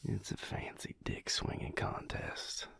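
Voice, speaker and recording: A man playing a surly but affectionate vampire cowboy lover in an intimate audio roleplay, with a Southern US accent and a slow, wry, breathy, gravelly murmur. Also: fairly bright tone. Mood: relaxed, sardonic. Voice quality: breathy, gravelly, half-whispered.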